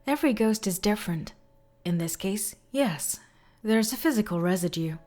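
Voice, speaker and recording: A woman's voice: medium pitch, expressive, normal speed, mellow, faint music behind, lightly acted. A woman voicing a tough, wary female exorcist in an audio drama, speaking bluntly and curtly. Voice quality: blunt, curt delivery. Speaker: voice actor in a fan audio drama.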